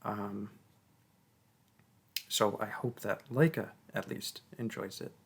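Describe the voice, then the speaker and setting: A man's voice: lowish voice, steady tone, slow pace, creaky, slightly rough. A man, a voice actor, giving a casual, hesitant thank-you outro with many filler ums. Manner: hesitant, lots of ums, bashful laughs.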